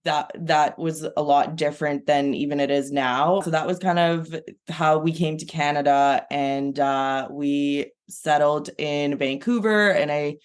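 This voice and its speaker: A woman's voice: deep voice, fairly even intonation, measured pace, hardly any pauses, clear, resonant tone. A woman in her thirties, born in Iran, chatting casually as a podcast guest, talking over a remote call and leaning on lots of "like" and "kind of" fillers. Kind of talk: casual conversation.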